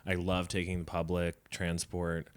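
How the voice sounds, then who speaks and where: Deep, smooth-voiced young man, hesitant. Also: monotone, unhurried, dry recording. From Indonesia. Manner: hesitant, filler-heavy, self-deprecating. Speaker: podcast guest comedian. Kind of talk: casual chat.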